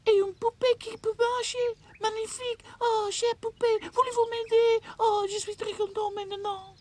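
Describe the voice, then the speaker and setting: Brief: man voicing a tiny French girl doll, very high, shrill and breathless, French accent. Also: flat delivery, normal speed, darkish tone, slight background noise. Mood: delighted. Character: worried, excitable little French girl doll.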